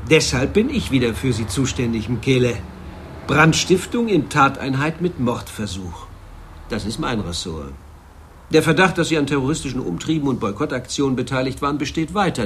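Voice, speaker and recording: Casting: male actor for radio-play police captain, medium pitch, formal and coolly in command, faint noise behind. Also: lively intonation, fairly bright tone, natural voice. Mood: stern pressure. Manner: formal, measured, insistent. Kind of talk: German radio drama acting.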